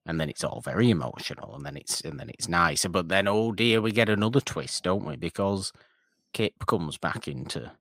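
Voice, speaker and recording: A man's voice: low voice, normal speed, creaky, dry recording, clean studio sound. A man co-hosting a podcast, chatting casually and ramblingly about an old film, often breaking off mid-sentence. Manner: rambling, animated, self-interrupting. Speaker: podcast co-host.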